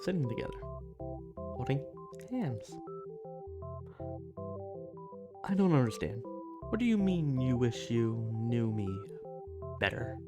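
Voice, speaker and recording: A woman playing a shy, snarky, touch-starved alien in an ASMR roleplay audio drama, with a halting, flustered delivery. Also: rumbling low, exaggerated voice. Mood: tender, hopeful. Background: soft music.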